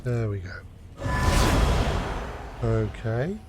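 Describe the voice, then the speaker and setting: A man's voice: lowish voice, lively intonation, extremely slow. A man with a British accent giving casual live gameplay commentary, thinking aloud in short remarks. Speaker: game streamer. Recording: game music behind the voice.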